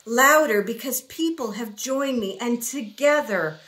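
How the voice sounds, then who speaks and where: A woman reading a children's story aloud expressively, in a deliberate way with dramatic pauses. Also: fairly high pitch, lively intonation, fairly bright tone. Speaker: picture book reader.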